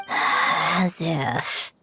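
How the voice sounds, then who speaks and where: A woman's voice: low-pitched, steady tone, drawn-out words, creaky, bright, forceful tone, in a whisper, soft background music, full character voice. A woman playing a proud, guarded young woman with a secretly tender side in an English anime game dub. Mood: grudging, teasing.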